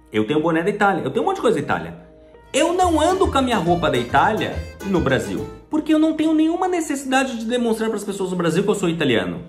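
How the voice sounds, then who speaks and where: A man's voice: a little high, echoey room. A Brazilian man hosting his channel, explaining things chattily and often asking rhetorical questions. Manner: chatty, asks rhetorical questions, acts out little dialogues. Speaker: channel host. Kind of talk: explaining to the camera.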